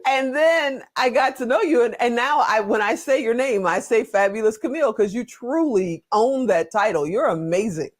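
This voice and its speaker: A man's voice: very high voice, moderate pace, few pauses. A man interviewing a guest as a talk show host, chatty, informal and joking in his delivery.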